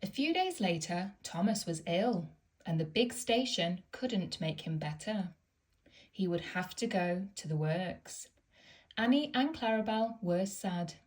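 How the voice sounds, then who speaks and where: A woman reading a children's picture book aloud in a gentle, expressive storytelling manner. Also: on the low side, measured pace.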